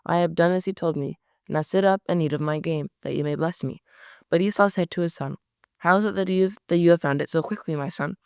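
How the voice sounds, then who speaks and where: A man reading scripture aloud at a steady pace, often stumbling over words and correcting himself. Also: on the high side, quick pace, vocal fry, smooth, no room sound, clean studio sound. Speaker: Bible reader.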